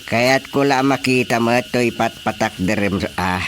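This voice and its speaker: An actor playing a pompous, prying village chairman in a radio comedy drama, speaking deliberately with an Ilocano accent. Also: mid-range voice, a bit bright, slight background noise, lightly acted. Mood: challenging.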